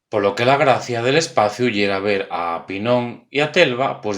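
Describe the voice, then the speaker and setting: A man's voice: on the low side, fairly bright tone. A man with an Asturian accent hosting an informal explainer video, speaking in a conversational, wry way. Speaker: video host presenting local cultural history.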